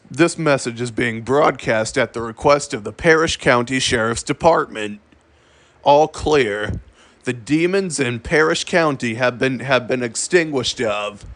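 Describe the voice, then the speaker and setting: A man narrating a scripted emergency broadcast in a formal, solemn and deliberate manner. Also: medium pitch, fairly bright tone. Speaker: emergency alert announcer.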